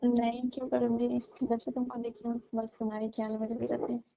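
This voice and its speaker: High-pitched young woman on a phone. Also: level tone, brisk, creaky, a little hiss or hum. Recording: tinny narrowband phone line.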